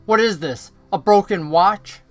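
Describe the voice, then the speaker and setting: A gruff, sneering bully of a workman from a storybook, acted out by a male reader. He barks in a raised, high-pitched voice with an abrasive, mocking temper. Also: a little slow, soft background music. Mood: angry, mocking. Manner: loud, abrasive outbursts giving way to murmurs. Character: gruff, angry, sneering workman nicknamed the pirate, slowly softening.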